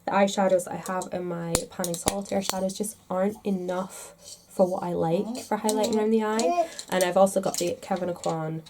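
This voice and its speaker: A woman's voice: mid-range voice, fairly even intonation, fairly quick, background noise. A woman vlogger talking casually to the camera in a chatty, rambling, self-deprecating way, a little congested with a stuffed-up nose. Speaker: makeup vlogger.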